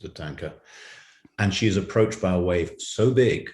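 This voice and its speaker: A man's voice: sits low, measured pace, a little mellow, slight background noise. A man speaking as an interview guest, telling illustrative anecdotes about his creative work. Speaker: writer and director, interview guest. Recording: echoey room.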